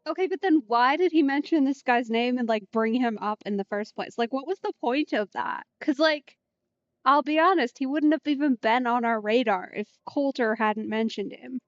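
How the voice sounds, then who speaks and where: Woman streamer, higher voice, wry slangy game commentary, close dry lo-fi mic. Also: moderate pace, no echo. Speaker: streamer playing a video game. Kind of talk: casual Let's Play commentary.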